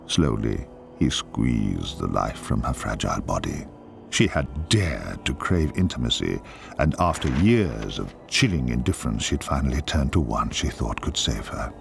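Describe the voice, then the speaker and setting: An actor playing a grave, ominous storyteller, narrating a dark fantasy game in a solemn, portentous and theatrical manner. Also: male voice, very deep voice, very animated, measured pace, gravelly, slight background noise, exaggerated voice. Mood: dark, grim. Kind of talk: dramatic scripted fantasy narration.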